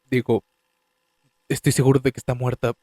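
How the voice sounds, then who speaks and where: A man's voice: mid-range voice, flat delivery, moderate pace, mellow, no echo, studio quality, natural voice. A man voicing a young male designer who gives a confessional, slightly defensive first-person statement in a fan dub audio drama. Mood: uneasy. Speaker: voice actor reading a statement.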